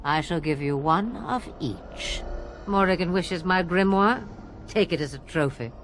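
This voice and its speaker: A woman's voice: lowish voice, lively intonation, unhurried, soft background music. A woman playing a cunning old witch in a fantasy game, with archaic, teasing, theatrical phrasing. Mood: sly, amused. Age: older. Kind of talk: dramatic fantasy game acting.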